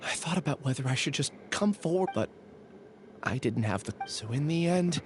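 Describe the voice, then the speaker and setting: A man's voice: a little high, lively intonation, moderate pace, slight background noise, natural voice. An actor playing a meek, remorseful young male follower in a game, speaking in a hesitant, apologetic way. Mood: wistful resolve. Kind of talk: scripted game voice acting.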